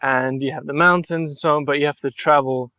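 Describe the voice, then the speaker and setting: A man's voice: a little high, steady tone, fairly quick, bright, forceful tone, no echo. Young man talking in an earnest, reflective way. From Mallorca, Spain. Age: young adult. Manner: earnest and reflective, thinks aloud in long flowing sentences. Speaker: podcast guest, artist. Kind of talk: relaxed interview conversation.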